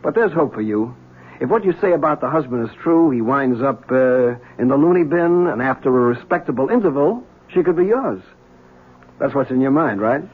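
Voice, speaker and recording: An actor playing a cynical, wisecracking police lieutenant in a 1970s radio drama, husky, on a tinny recording. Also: male voice, mid-range voice, animated, moderate pace. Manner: sardonic, teasing.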